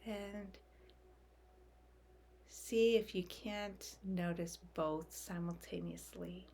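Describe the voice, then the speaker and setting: A woman leading a guided meditation in a gentle, soft, unhurried way, leaving long calming pauses. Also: fairly low pitch, creaky, slight background noise.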